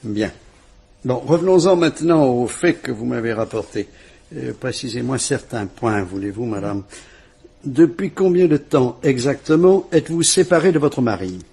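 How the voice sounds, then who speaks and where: An actor playing a calm, kindly police commissioner in a vintage radio drama, speaking in a measured, courteous and reassuring way. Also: male voice, mid-range voice, creaky, slightly rough. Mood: probing, polite.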